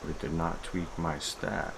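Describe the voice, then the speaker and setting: Very deep-voiced man muttering, gravelly. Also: little pitch movement, a little slow. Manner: muttering, thinking aloud in short fragments. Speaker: game modder streaming their work. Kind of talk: casual muttered commentary while working. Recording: noisy room hiss with keyboard typing.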